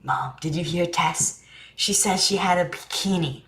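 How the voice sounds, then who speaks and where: A woman's voice: fairly low pitch, unhurried, fairly bright tone. A woman playing a curious, earnest young boy in a staged drama reading, with an informal, questioning way of talking. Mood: friendly, amused.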